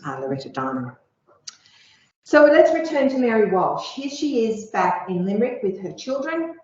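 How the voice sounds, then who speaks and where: Low-voiced woman lecturing in an echoey room. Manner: measured, explanatory, with frequent ums. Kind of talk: academic lecture with slides. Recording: echoey room, dull lo-fi sound.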